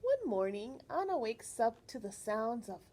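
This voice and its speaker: Lady doing a kids storytime, really high expressive voice, slow, kinda breathy, stops to ask questions. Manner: animated, expressive, teacherly, pausing to question children. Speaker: children's librarian reading aloud. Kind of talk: picture book read-aloud for young children, with questions to the listeners.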